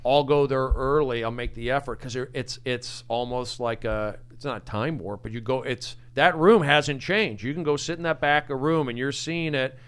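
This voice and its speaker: Podcast host, male, clear smooth tone, quick and energetic. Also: medium pitch. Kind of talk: casual chat.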